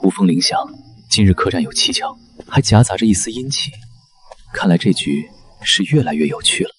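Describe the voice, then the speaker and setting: A man's voice: deep voice, sing-song, a little fast, a bit bright, exaggerated voice. A man acting a cool, teasing schemer in an ancient Chinese fantasy drama. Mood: intrigued.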